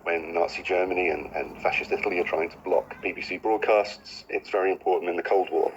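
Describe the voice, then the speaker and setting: A man's voice: low-pitched, steady tone, fairly quick, lo-fi sound, slight background noise. A man giving an informal explanatory talk as an expert guest.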